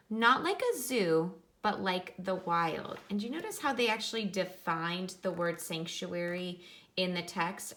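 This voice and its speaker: A woman's voice: medium pitch, fairly bright tone. A woman teacher reading a nonfiction book aloud for children, instructive and explaining things in simple terms.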